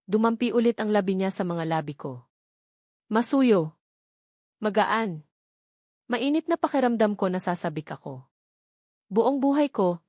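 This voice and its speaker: A woman's voice: medium pitch, a little mellow, no room sound, studio quality. A woman with a Filipino accent reading a first-person romance novel aloud as dramatic scripted narration. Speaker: audiobook narrator.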